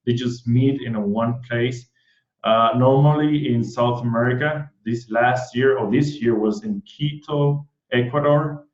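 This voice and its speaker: A man from Puerto Rico with a Puerto Rican Spanish accent, Spanish his first language, hosting an online event in an eager, excited way, often repeating words and restarting. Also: mid-range voice, roomy sound, lo-fi sound. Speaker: host.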